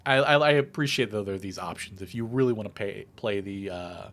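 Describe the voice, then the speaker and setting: A man's voice: lowish voice, fairly quick. A man narrating a video game playthrough, reading the text aloud theatrically and adding his own casual commentary. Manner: expressive, theatrical read-aloud delivery. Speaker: let's play host.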